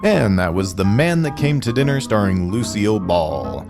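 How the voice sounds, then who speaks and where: A man's voice: lowish voice, big pitch swings, moderate pace, vocal fry. A man hosting a vintage radio program, reading a scripted introduction with music behind him. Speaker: program host. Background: plucked guitar music.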